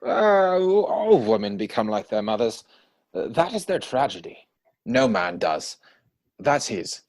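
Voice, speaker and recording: A man acting a flippant, lively Victorian dandy. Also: medium pitch, unhurried. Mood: arch. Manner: arch, teasing, mannered phrasing. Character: flippant, witty, idle young Victorian gentleman. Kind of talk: stage comedy dramatic reading. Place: home, recorded over video call.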